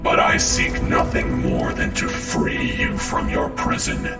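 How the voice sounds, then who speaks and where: A man's voice: extremely low pitch, fairly even intonation, slow, gravelly, full character voice. An actor playing a sadistic, taunting killer in a video game, drawing out his words with menace and gloating. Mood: menacing, persuasive. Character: sadistic, taunting male pyrokinetic serial killer villain. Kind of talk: dramatic game villain acting. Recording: echoing, distant, under loud music.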